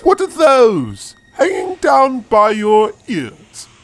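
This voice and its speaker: Performer doing a slow, menacing bully headmistress. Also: mid-range voice, huge pitch swings, exaggerated voice. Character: menacing, domineering bully headmistress, adult woman. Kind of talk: comic audio storytelling.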